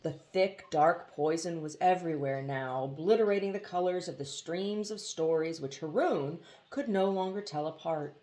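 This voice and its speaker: A woman reading a novel aloud as expressive storybook narration, with a casual touch. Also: lowish voice, moderate pace, few pauses. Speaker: audiobook reader.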